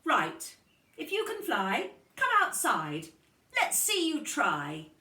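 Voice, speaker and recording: Woman playing a bossy, lively schoolboy dog. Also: fairly high pitch, slow, full character voice. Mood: challenging. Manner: challenging, scornful. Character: taunting young male schoolmate dog, bossy. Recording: roomy, distant microphone.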